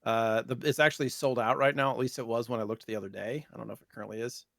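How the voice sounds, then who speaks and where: A man's voice: mid-range voice, fairly even intonation, quick pace, creaky, a bit bright, dry recording, studio quality. A man co-hosting a podcast, chatting casually in a loose, rambling conversational way. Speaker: podcast co-host. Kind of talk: casual chat about retro gaming news.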